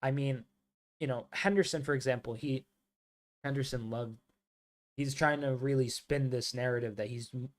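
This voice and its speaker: A man's voice: mid-range voice, fairly even intonation, normal speed, long pauses. A man from the States, hosting a live football watchalong and chatting loosely with many fillers. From United States. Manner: casual, rambling, many "like" fillers, calls viewers mate and bro. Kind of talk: live match watchalong commentary and chat.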